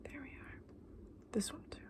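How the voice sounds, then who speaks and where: Soft, whispery saleswoman of fantasy creatures, played by a woman. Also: close to an everyday voice. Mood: informative, gentle. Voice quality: soft, breathy, often slipping into whisper. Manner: gentle, unhurried, explanatory, addressing a customer. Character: friendly, knowledgeable female dragon egg saleswoman. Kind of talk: ASMR roleplay, soft-spoken and whispered.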